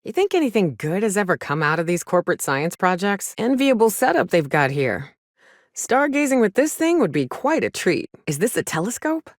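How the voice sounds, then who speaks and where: A woman's voice: mid-range voice, very animated, moderate pace, no echo, lightly acted. Woman doing a folksy sardonic game companion, tough frontier hunter gal, swingy intonation, super dry close recording. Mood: wry, casual. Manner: sardonic, folksy, plain-spoken. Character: gruff, wry frontier woman, monster hunter and loyal companion. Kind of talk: video game companion dialogue.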